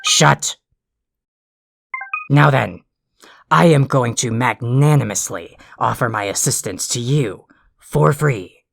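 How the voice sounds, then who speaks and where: An actor in an audio drama playing an irritable, foul-mouthed, self-important alien troll who rants and insults in a gravelly voice. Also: mid-range voice, takes it slowly, pauses often. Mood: irritated, scolding. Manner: ranting, insulting, pompously wordy.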